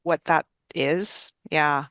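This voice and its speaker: Curious low-voiced woman host, dry sound. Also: fairly even intonation, extremely slow, no echo. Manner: curious, gently framing long questions. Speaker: podcast host and physician. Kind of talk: conversational interviewing.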